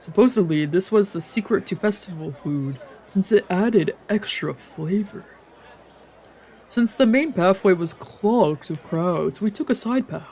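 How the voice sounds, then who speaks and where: A woman's voice: mid-range voice, lively intonation, unhurried, warm tone, low-quality recording. A woman, a streamer narrating a visual novel in a let's play. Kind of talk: let's play, narrating and voicing translated lines.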